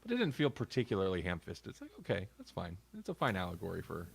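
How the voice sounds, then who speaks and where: A man's voice: low voice, wildly swooping pitch, fast, vocal fry, breathy edge, dry recording. A man co-hosting a podcast, talking casually in a rambling, self-interrupting way. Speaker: podcast co-host. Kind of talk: casual review chat.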